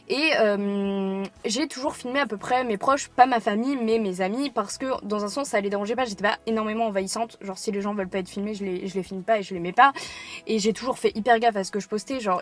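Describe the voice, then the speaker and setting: An eighteen-year-old woman from France, talking casually to camera from a parked car, rambling with many fillers and restarts. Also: medium pitch. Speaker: YouTuber. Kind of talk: casual talking-head vlog.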